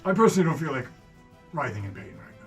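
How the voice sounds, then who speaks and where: A man's voice: a little high, sing-song, fast talker, soft background music. A man who plays a tabletop roleplaying game, speaking casually and jokingly as he relays his character's words.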